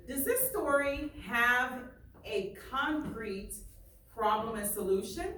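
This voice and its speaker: A woman teacher reading aloud and questioning her class in a deliberate way, repeating phrases for emphasis. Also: fairly high pitch. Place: indoor classroom. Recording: echoey room, far from mic, faint noise.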